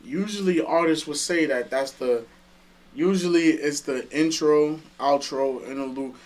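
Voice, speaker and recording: A man hosting a casual interview, relaxed and chiming in with short agreements and questions. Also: a little high, a little slow. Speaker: podcast host.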